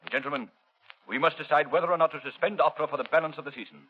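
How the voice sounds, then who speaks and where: A man playing an officious, anxious opera board chairman in a 1930s radio drama, with a husky voice and formal, brisk delivery. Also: a little high. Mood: grave, formal. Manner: formal, brisk, speech-making. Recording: dull, band-limited 1930s radio recording.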